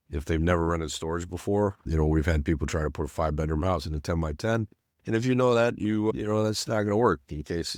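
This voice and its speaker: Deep-voiced man, nasal from a cold. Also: no room sound, clean studio sound. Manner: casual, rambling, many restarts and "you know". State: getting over a cold. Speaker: self-storage business owner teaching automation. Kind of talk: screen-share tutorial narration.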